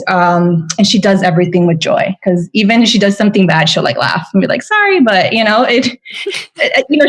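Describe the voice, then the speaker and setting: Earnest woman guest, halting speech. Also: mid-range voice. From Venezuela. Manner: earnest, many fillers and restarts. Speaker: podcast guest, spiritual guide. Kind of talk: casual interview chat.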